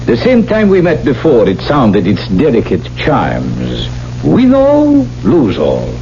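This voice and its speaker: Villain for radio play: suave aristocratic Count, unhurried, mellow, mockingly polite, on a dull old recording. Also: male voice, medium pitch, wildly swooping pitch, slight background noise, full character voice. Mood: amused, mocking. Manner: urbane, mockingly polite, savouring words. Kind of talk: 1970s radio drama acting.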